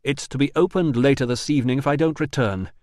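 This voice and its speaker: A man voicing a calm, dry-witted male investigator in an audiobook, level and sardonic. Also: mid-range voice, fast talker, no echo, voice pushed up, close to an everyday voice. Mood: cool. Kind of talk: audiobook dialogue.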